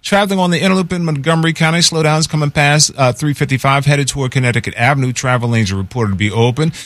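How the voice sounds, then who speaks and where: A man delivering a brisk radio traffic report, rattling off road conditions lane by lane. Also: a little high, a bit bright, no room sound.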